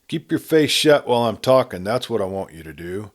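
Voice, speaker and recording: A man voicing a sarcastic, menacing outlaw gang leader in a Western story reading, talking in rough frontier speech. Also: lowish voice, expressive, a little fast, voice pushed up, natural voice. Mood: commanding. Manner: taunting, commanding, rough frontier talk.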